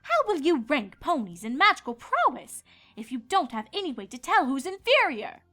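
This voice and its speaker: A woman's voice: high-pitched, wildly swooping pitch, moderate pace, bright, forceful tone, pitched above the performer's own voice, heavily acted. A woman voicing a proud, blunt younger pony princess from a storybook, with old-fashioned, declamatory phrasing. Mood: indignant.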